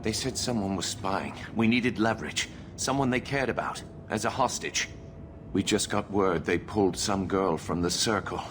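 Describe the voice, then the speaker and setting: Man playing an anxious, pleading rebel, rumbly backdrop. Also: background noise, natural voice. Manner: earnest, nervous, halting pleading. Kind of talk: dramatic video game dialogue.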